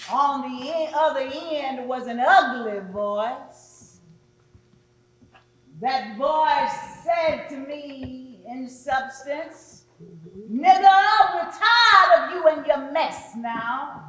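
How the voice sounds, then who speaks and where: A woman performing a dramatic readers theatre monologue as a weary, solemn preacher, speaking deliberately with oratorical pauses. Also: high voice, fairly bright tone, heavily acted. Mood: solemn, reflective, then menacing. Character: weary preacher solemnly recalling a threatening midnight phone call. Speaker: stage performer. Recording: echoey room.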